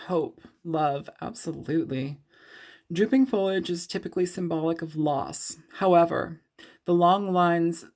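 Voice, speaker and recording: A woman's voice: fairly low pitch, gravelly, a little mellow. A woman giving a casual tarot reading to camera, sniffly from a cold, talking in a loose, rambling way full of fillers, often laughing. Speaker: tarot reader.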